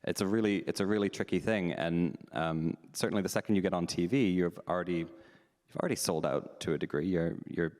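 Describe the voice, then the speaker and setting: A man's voice: deep voice, fairly quick, hardly any pauses, a little mellow. A man hosting a live panel talks frankly and candidly, thinking aloud as he answers audience questions. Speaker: panel host, drag performer. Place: meeting house hall. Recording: long hall reverb.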